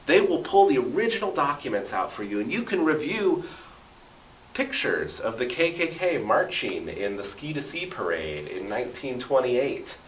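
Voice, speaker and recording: A man's voice: mid-range voice, big pitch swings, normal speed, a bit bright, distant mic, slight background noise. A man talking as an interview guest in a conversational storytelling style, emphatic and often checking in with the listener. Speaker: interview guest, radio drama producer and local history enthusiast. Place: radio studio. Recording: roomy, echoing, away from the mic.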